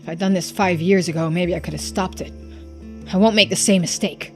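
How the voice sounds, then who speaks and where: A woman's voice: on the low side, steady tone, a little fast, lightly acted. A woman takes on a stern male patriarch in a visual novel. He is scornful and used to giving orders, speaking with hard authority over background music. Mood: cold, commanding. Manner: scornful, commanding. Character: stern, domineering male island businessman and patriarch. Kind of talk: visual novel character voicing.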